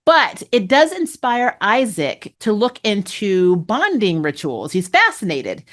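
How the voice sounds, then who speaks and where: A woman hosting a casual spoiler review of a TV episode, chatty and amused, laughing often and filling pauses with "um" and "you know". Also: mid-range voice, sing-song. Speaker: TV review host.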